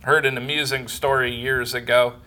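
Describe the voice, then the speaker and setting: A man's voice: flat delivery, normal speed, bright, forceful tone, rough recording. A man in his forties preaching a sermon fervently, building up as he speaks. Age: 40s. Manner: fervent, building in pitch and volume.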